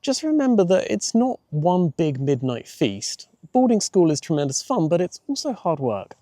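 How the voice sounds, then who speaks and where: Man as caring mother, raised pitch, gentle, encouraging, children's storybook character. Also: sing-song, normal speed, no echo, studio quality. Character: loving, reassuring mother of a young girl.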